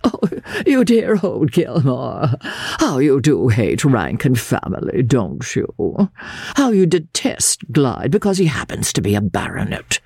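A man voicing a languid, peevish gentleman invalid in an audiobook, with a fussy, affectedly fragile, sarcastic drawl. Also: medium pitch, huge pitch swings, a little slow, creaky, slightly rough, no echo, studio quality, voice pushed up, heavily acted. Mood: playful, mocking. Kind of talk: dramatised audiobook character voice.

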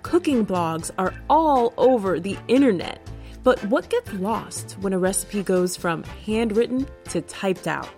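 A woman hosting a podcast, reading a scripted introduction in a measured way. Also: mid-range voice, expressive, mellow. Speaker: podcast host. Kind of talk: scripted narration. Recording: faint music underneath.

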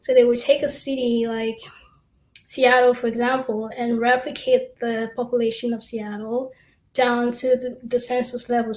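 A woman's voice: on the high side, echoey room, rough recording. A woman in her thirties from Cameroon, an academic explaining her research in an interview. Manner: explanatory, steady, with fillers. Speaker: assistant professor, guest. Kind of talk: interview answers.

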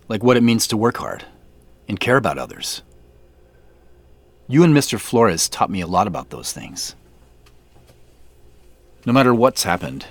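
Low-voiced reserved man, lively intonation. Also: full character voice. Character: polite, reserved businessman revisiting a farm from his youth. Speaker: actor in an audio drama. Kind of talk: naturalistic audio drama dialogue and first-person narration.

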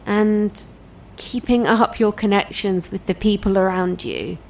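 A woman acting a concerned, gently probing friend and busy mother in an audio drama. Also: mid-range voice, unhurried, vocal fry, slight background noise, poor audio. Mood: concerned, gentle.

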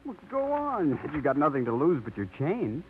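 Teasing young pal, playful, lively pitch, tinny old radio sound. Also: male voice, normal speed, a little hiss or hum. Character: teasing, easygoing young male friend. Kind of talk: 1950s radio drama acting.

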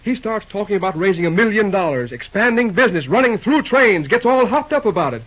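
Excitable, husky young railroad boss. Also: male voice, sits high, brisk. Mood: exasperated. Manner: exclamatory, grumbling, stammering. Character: harried young railroad superintendent, excitable and exasperated. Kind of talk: old-time radio serial acting. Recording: dull old radio-show recording.

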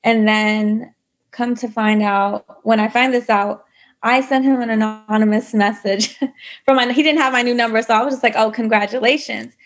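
A woman's voice: on the high side, steady tone, normal speed. A woman telling her own story in a casual interview, chatty, full of likes and you knows. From United States. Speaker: guest.

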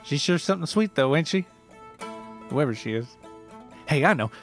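A man voicing an aggrieved, sentimental rustic ginseng forager with an Appalachian accent and halting, folksy speech, in a narrated story. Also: on the high side, lively intonation, faint music behind, pitched above the performer's own voice. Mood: eager, folksy. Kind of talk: mock reality-show character in a narrated story.

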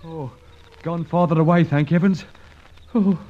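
A man's voice: fairly high pitch, fairly even intonation, measured pace, natural voice. An actor playing a jittery, superstitious, simple working-class man in an old-time radio serial, colloquial and prone to exclaiming. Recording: muffled, noisy old radio recording.

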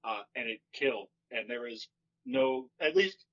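Deadpan, bright-voiced comedian. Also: male voice, medium pitch, measured pace, highs cut off. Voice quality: monotone voice. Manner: deadpan, wry storytelling. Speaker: stand-up comedian guest. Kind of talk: casual podcast chat.

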